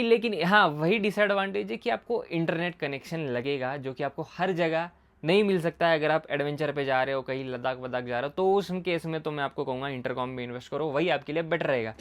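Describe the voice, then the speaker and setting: A man giving a casual product explainer in a conversational way, mixing in English words and repeating his points. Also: on the high side. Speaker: motorcycle gear reviewer and video host.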